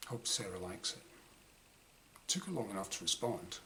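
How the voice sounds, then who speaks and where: A man reading his own first-person short story dramatically, in clipped diary-like phrases with pauses. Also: deep voice, lively intonation, sharp tone. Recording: clearly noisy room ambience.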